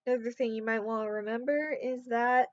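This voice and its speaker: A woman's voice: fairly high pitch, steady tone, brisk, no room sound. Sleep-deprived young woman vlogging. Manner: rambling, trailing off. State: sleep-deprived, voice going hoarse. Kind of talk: casual talk to camera. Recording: dull, muffled sound in a dry room.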